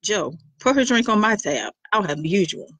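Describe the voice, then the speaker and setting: A woman's voice: medium pitch, expressive, normal speed, fairly bright tone, no room sound. A woman voicing a cocky young guitarist. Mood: teasing. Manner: teasing, self-assured.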